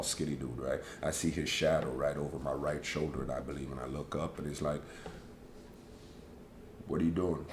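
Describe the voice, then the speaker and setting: A man with a big, booming voice, hosting a casual live stream and telling a rambling, animated story from his school days. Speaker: host. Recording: echoey room with faint background noise.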